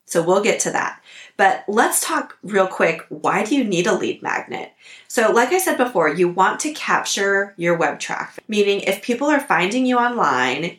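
A woman hosting a podcast, hoarse from a cold, giving upbeat, practical coaching tips. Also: lowish voice, fairly bright tone, echoey room. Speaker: podcast host. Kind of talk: instructional podcast talk.

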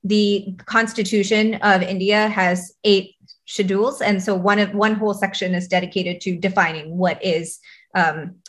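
A woman with an American English accent, explaining things in a relaxed conversational way, often restarting her sentences. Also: medium pitch, normal speed. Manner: conversational, frequent fillers and restarts while explaining. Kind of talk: explanatory casual chat.

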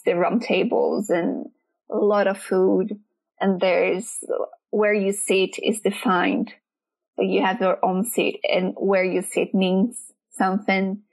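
Brazilian woman interviewee, non-native English, vocal fry, thoughtful and searching. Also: medium pitch, low-quality recording. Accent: Brazilian Portuguese. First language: Portuguese. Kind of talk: casual interview chat.

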